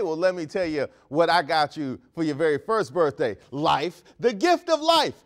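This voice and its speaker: Male host, thirties, studio news-comedy monologue, high lively voice, mock outrage. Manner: animated, mock-outraged, jokey asides. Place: studio set.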